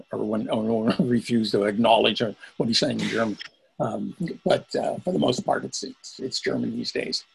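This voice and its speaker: A man's voice: medium pitch, vocal fry, breathy edge, poor audio. A man in his seventies telling a rambling story of his past on a group video call. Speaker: participant.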